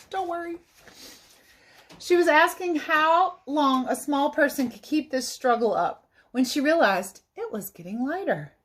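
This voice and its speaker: A woman with a fairly high voice reads a picture book aloud as a teacher would for young children. Her delivery is expressive and dramatic, and she steps out of the story to explain things simply.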